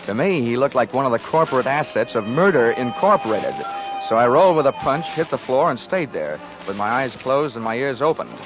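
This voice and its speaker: A male actor plays a hard-boiled insurance investigator from 1940s radio, dry and sardonic. He is cocky and quick with a wisecrack, in a mid-range voice. The sound is dull and dated, with music underneath. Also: mid-range voice, lively intonation, lightly acted. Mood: wry narrating. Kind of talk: 1940s radio detective narration and acting. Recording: muffled 1940s radio recording, music behind.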